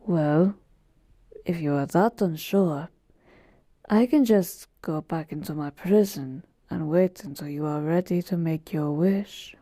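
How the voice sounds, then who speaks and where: Woman playing a slow, mellow, weary genie. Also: sits low, no room sound. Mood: patient, coaxing. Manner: polite, measured, wistful, gently chiding. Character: weary, polite genie, a murdered soul bound to serve. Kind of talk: slow, intimate roleplay monologue to a listener.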